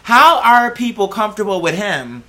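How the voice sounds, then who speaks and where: An American vlog host chatting casually and emphatically, with slangy, sweary, animated rambling. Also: mid-range voice, normal speed. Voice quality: slangy speech peppered with "girl" and swearing. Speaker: host of a talk show vlog. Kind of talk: casual opinionated solo chat.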